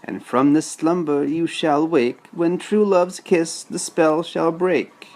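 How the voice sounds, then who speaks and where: A man plays a kindly storybook fairy woman. The voice is lifted in pitch and recites solemnly, in a measured singsong like a spell. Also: steady tone, darkish tone, low-quality recording. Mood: solemn, gentle. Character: kindly good fairy woman solemnly chanting a rhyming blessing.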